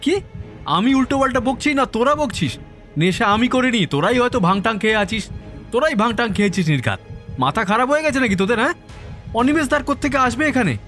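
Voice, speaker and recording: An excitable young man from the neighbourhood in a horror audio drama. His voice sits high, insistent and slangy, pushing his point with agitation. Faint music plays behind him. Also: fast. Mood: indignant, agitated. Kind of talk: audio horror story acting.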